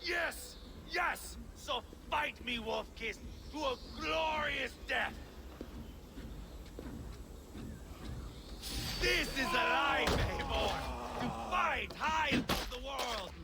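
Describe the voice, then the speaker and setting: An actor playing a bloodthirsty, taunting Viking warrior in a video game, theatrical and unhinged, moving between whispers and roars. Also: male voice, very high voice, animated, slow pace, gravelly, background noise, heavily acted. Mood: frenzied, exultant. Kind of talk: dramatic video game acting.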